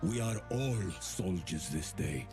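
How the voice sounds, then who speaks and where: An actor playing a stern, defiant warrior in a video game, speaking in a solemn, declamatory way. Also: male voice, low voice, animated, slow, darkish tone, exaggerated voice. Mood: solemn resolve. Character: stern, defiant mortal warrior, rallying soldiers. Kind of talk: dramatic video game voice acting. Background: game music.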